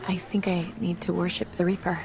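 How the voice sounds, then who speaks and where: A woman's voice: on the low side, level tone, a little fast, vocal fry, background noise. An actress playing a weary, troubled young woman survivor in a video game, speaking in an earnest, hesitant, plain way. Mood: guarded, curious. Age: young adult. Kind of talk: video game character acting.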